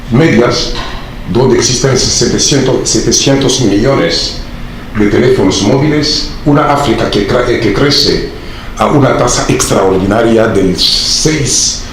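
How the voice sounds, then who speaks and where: Low-voiced man lecturing in a very echoey hall. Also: a little hiss or hum. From Democratic Republic of the Congo. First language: Swahili. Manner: measured lecturing with frequent hesitations. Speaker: academic speaker. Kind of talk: formal conference speech. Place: large conference hall.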